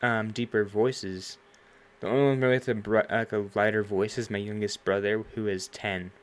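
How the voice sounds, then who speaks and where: A young man with a fairly low voice chats in an easygoing way and laughs loudly and often. He talks close to a home streaming microphone. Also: level tone, normal speed. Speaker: podcast co-host. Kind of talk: casual chat.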